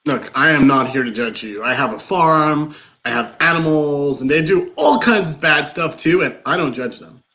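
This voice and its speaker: A man in a live play reading, playing an awkward, nervous man who rambles through a confession in halting, over-explained dialogue. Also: on the high side, expressive, pushed and bright. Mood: sheepish, earnest.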